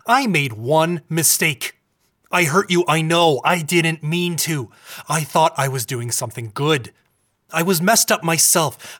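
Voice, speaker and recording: Defensive, stubborn husband. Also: male voice, fairly high pitch, fairly bright tone. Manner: insistent, self-justifying. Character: defensive, stubborn husband pleading his case. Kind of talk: audio drama acting.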